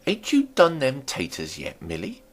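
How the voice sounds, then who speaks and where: A man's voice: mid-range voice, wildly swooping pitch, moderate pace, pitched above the performer's own voice. A man voicing a chatty, nosy, deferential country landlady in a storybook reading, with folksy rustic talk that rambles and fusses. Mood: gossipy fussing.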